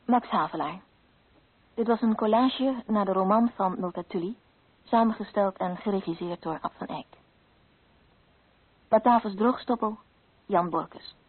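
A woman with a husky voice reading scripted radio play credits as an announcer. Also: medium pitch, unhurried, long pauses, dry recording. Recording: dry, tinny phone-like sound.

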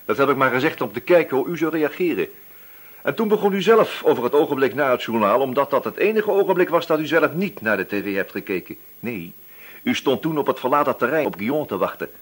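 A man's voice: mid-range voice, expressive, fast talker, breathy edge. A man playing a wily, relentless police inspector in a radio drama, feigning casual chat and probing until he accuses. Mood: accusing, firm. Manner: probing, hesitant feints turning accusatory.

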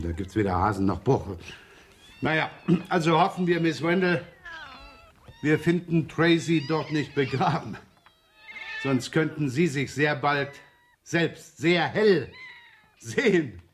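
An actor playing a gruff, sarcastic, irreverent police inspector in a radio crime drama. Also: male voice, mid-range voice, measured pace, pauses often, slight background noise, lightly acted. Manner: grumbling, blunt, dry sarcasm. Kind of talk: 1970s German radio crime drama acting.

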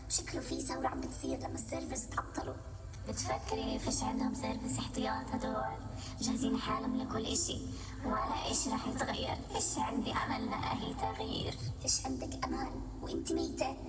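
Hushed, fast Palestinian ghost woman, under music. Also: on the high side, very animated, gravelly. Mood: hushed, disillusioned. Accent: Palestinian Arabic. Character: dead woman ghost, restless, disillusioned, chatting among spirits. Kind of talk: radio drama dialogue. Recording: roomy, distant voice under loud music.

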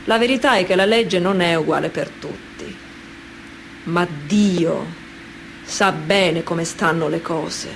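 A woman's voice: on the low side, slow, gravelly, slight background noise. A woman playing a proud, pious mafia wife and mother in a righteous, defiant radio monologue. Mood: defiant pride.

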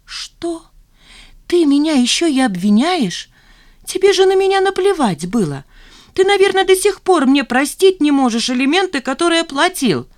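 A woman's voice: medium pitch, moderate pace, a little mellow, no room sound, studio quality, pitched above the performer's own voice, close to an everyday voice. A woman voicing a hurt, indignant woman in her thirties, reproachful and emphatic, as audiobook dialogue. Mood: outraged.

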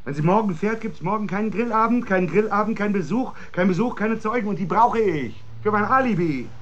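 A man's voice: high voice, expressive, fairly quick, fairly bright tone, dull audio. An actor playing an irritable, pressing husband who schemes against his wife, in a naturalistic German radio drama. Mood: frustrated, tense.

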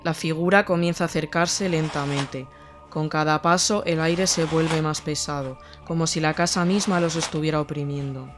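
A man narrating scripted horror fiction in a measured, atmospheric way. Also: fairly high pitch, fairly even intonation, over music.

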